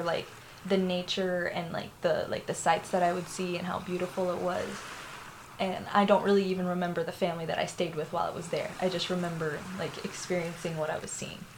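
A woman's voice: on the low side, slight background noise, rough recording. A young woman from Florida with a Puerto Rican family, telling a personal story in a candid, rambling way, full of fillers and laughs. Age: young adult. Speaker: interviewee.

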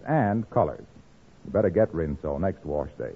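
Husky old-time radio announcer, male. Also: very deep voice, sing-song, unhurried, phone-quality sound. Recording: band-limited vintage radio broadcast.

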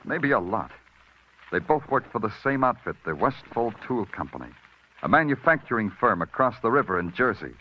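Actor as dramatic hard-boiled radio detective. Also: male voice, mid-range voice, sing-song, moderate pace, a little hiss or hum, natural voice. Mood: terse, wry. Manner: terse, brooding, theatrical. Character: hard-boiled, world-weary male New York police detective. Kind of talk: 1950s radio noir narration and dialogue. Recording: dull vintage radio recording.

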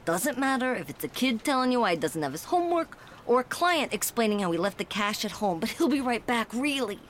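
A woman playing a skeptical, brisk police detective in a scripted noir audio drama, deadpan and teasing. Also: fairly high pitch, a little hiss or hum. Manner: deadpan, matter-of-fact, teasing.

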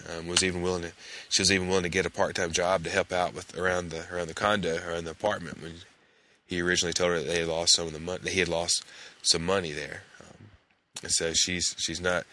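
A male podcast host recapping a TV episode in a casual, hesitant way, his voice hoarse and gravelly from a cold. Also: low-pitched, flat delivery, fast talker, sharp tone. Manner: hesitant, many fillers and restarts.

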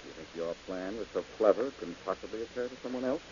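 A man's voice: on the low side, fast talker, a little mellow, natural voice. A man playing a cool-headed, mocking detective in an old radio drama. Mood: taunting. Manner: cool, mocking and self-assured. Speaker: detective hero. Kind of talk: 1940s radio acting. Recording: dull old radio recording with hiss.

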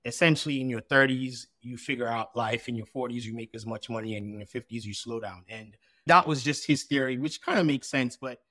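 A man's voice: mid-range voice, brisk, hardly any pauses, a bit bright, dry recording. A man co-hosting a podcast, speaking in a rambling, conversational way as he builds up long questions. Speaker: podcast co-host. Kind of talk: podcast interview.

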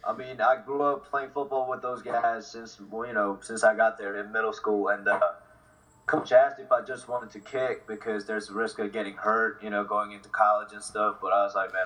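A man's voice: fairly low pitch, fairly bright tone. A man in his twenties from South Korea and New Jersey, giving casual, easygoing answers in an interview. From South Korea, then New Jersey from sixth grade. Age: 20s. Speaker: interview guest, football kicker. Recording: voice distant from the mic.

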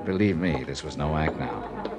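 An actor playing a wisecracking, flirtatious insurance investigator in a 1950s radio drama, wry and smooth in his storytelling. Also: extremely low pitch, animated, moderate pace, exaggerated voice. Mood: wry narration. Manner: wry, hesitant in dialogue, crisp storytelling in narration. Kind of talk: 1950s radio drama acting with first-person narration. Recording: 1950s radio recording with organ-era music.

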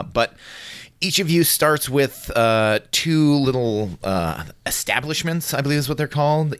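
Low-voiced man casually explaining game rules. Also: fairly bright tone, smooth, no room sound. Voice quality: nasal. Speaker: podcast co-host.

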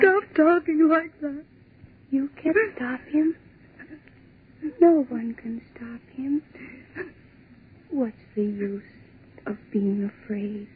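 A terrified young woman trapped in the dark, high voice, very slow words, 1940s radio play on a tinny line. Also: slightly rough, full character voice. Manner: hushed and fearful, breaking into cries. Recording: tinny old radio broadcast.

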